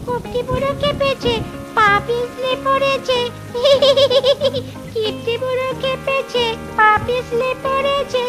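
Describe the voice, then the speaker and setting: A woman's voice: very high voice, flat delivery, slow pace. A woman voicing a naughty little boy who taunts in a cheeky singsong way in a cartoon. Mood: mocking, gleeful. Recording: loud music behind.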